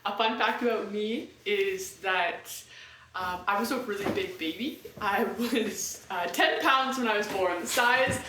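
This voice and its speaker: A woman's voice: mid-range voice, measured pace, noisy background. A young woman from Toronto, giggling as she introduces herself. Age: young adult. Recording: distant, echoey room.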